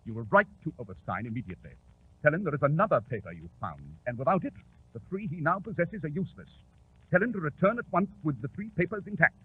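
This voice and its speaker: A man playing a shrewd, commanding detective in 1940s radio drama, explaining with crisp authority. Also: a little high, sing-song, quick pace. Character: shrewd, commanding English detective, coolly superior. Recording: tinny, noisy 1940s radio recording.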